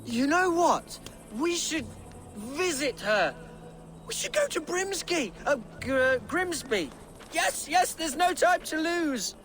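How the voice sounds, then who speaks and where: A man's voice: very high-pitched, slow, exaggerated voice. An actor playing an eager young Saxon nobleman, jovial and a little bumbling, in a game cutscene. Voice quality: lively, jovial, a bit bumbling. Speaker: game character. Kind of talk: video game cutscene acting. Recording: game audio with loud music.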